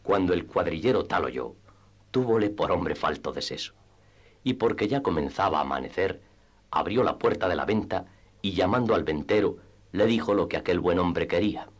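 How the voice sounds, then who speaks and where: Low-voiced male storytelling narrator. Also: lively intonation, normal speed, husky, muffled recording. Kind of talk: scripted literary narration for radio theatre.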